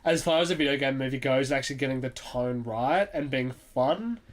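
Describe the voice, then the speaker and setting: A man's voice: medium pitch, a little fast. A man on a podcast panel giving his opinions in a casual, conversational way.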